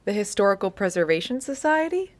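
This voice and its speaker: An actress playing a calm female interviewer who asks gentle, probing questions. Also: mid-range voice, fairly quick, lightly acted.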